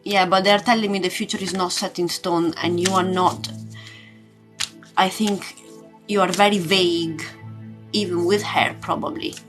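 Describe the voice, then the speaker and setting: A woman's voice: fairly low pitch, fairly even intonation, moderate pace, pauses often. A woman giving a casual live tarot reading as a spiritual coach, searching for words and often repeating them. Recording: loud music under the voice. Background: music.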